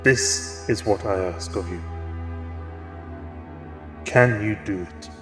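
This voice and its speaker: A grave, commanding king in an audio drama. He speaks slowly and deliberately, with imperious solemnity. The voice rings in a reverberant hall with music underneath. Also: on the low side. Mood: grave, urgent.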